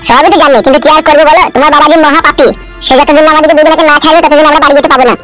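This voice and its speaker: Man voicing a fast-talking timid cartoon simpleton. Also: very high-pitched, level tone, over loud music. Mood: fearful protest. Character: timid, simple-minded married man, easily frightened. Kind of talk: amateur cartoon comedy dubbing.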